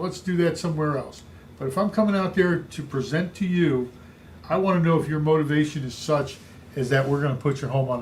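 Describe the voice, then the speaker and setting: A man with a big booming voice coaching real estate agents, speaking emphatically and repeating his key points. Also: a little hiss or hum. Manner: emphatic, repetitive coaching delivery. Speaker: real estate sales trainer. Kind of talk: instructional podcast talk.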